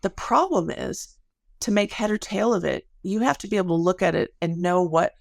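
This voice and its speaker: A woman's voice: lowish voice, animated, moderate pace, gravelly, no room sound, clean studio sound. A middle-aged woman speaking as an academic interview guest, explaining things in a thoughtful, careful way and often correcting herself. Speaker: podcast guest, academic researcher.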